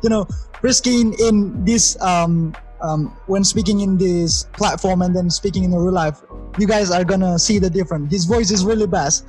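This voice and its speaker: A man's voice: high-pitched, normal speed, darkish tone, faint music behind. A man hosting a casual interview, chatty and laughing easily, with lots of "you know" fillers. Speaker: host.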